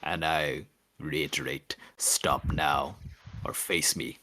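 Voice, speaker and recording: A man playing a stern, menacing armored warrior in a tabletop game, speaking slowly and gravely, half whispering. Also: very deep voice, steady tone, pushed and bright, a little hiss or hum, heavily acted. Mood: grave, threatening. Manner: slow, grave, partly whispered.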